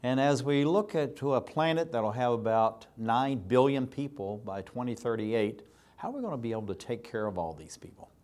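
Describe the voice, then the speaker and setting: A man's voice: mid-range voice, normal speed, a little mellow. A man hosting an interview show, asking long rambling and enthusiastic questions. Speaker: talk show host.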